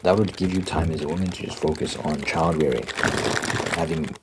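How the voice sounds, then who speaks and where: A man's voice: extremely low pitch, fairly even intonation, brisk. A man hosting an ASMR video, rambling casually in an informal monologue and repeating phrases for emphasis. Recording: clear typing and crinkling noise.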